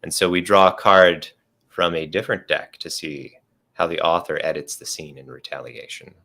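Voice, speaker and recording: A man with a very low voice and a bright, forceful edge explains a tarot game. He talks casually and jokes at his own expense. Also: moderate pace, vocal fry. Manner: self-deprecating, laughs at himself. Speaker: guest game runner.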